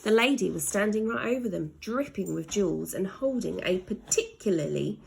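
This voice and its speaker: A woman's voice: medium pitch, animated, normal speed, gravelly, a little hiss or hum, low-quality recording. A woman narrating a children's story aloud in a dramatic way, leaning hard on sound words. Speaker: storybook reader.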